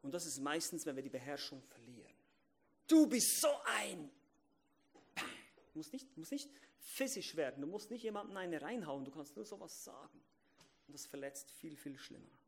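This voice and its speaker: Emphatic German-speaking preacher, fairly high voice. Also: male voice, very animated, normal speed, creaky, slightly rough. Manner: emphatic, swings between loud stresses and quiet asides; repeats phrases, asks the congregation questions. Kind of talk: sermon.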